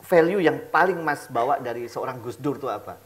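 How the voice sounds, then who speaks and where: A man's voice: medium pitch, animated, brisk. A man hosting a casual, playful interview, slangy and mixing in English words. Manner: jokey, slangy, peppers talk with English words. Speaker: talk show host.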